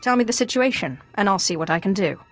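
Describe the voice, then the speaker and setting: A woman's voice: medium pitch, lively intonation, quick pace, soft background music, natural voice. A woman playing a composed, restrained young Sith apprentice in a video game. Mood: cool, composed. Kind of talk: dramatic video game acting.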